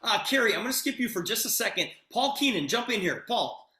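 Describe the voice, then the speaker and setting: Male online-meeting host, enthusiastic and informal, bright high-pitched delivery, repetitive emphasis, echoing room. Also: big pitch swings, fairly quick. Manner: repeats phrases for emphasis. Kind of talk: enthusiastic, informal moderating of a group call. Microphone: video call. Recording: roomy, echoing video-call sound.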